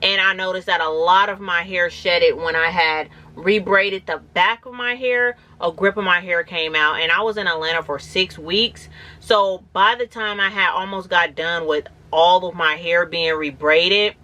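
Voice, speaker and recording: A woman in her thirties, a little raspy and hoarse from a cold and fresh braces, chatting casually in a rambling vlog. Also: on the low side, moderate pace, bright, forceful tone. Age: 30s. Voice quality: slightly raspy. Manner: rambling, conversational, many "like"s. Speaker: natural hair vlogger. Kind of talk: casual talking-to-camera vlog.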